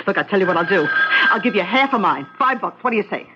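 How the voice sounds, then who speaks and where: A woman's voice: medium pitch, expressive, fast, lo-fi sound, close to an everyday voice. Brash neighbor lady, an actress, noisy old radio. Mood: coaxing. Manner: brash, coaxing, casual. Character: breezy, pushy gambling neighbor woman. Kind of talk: old-time radio drama acting.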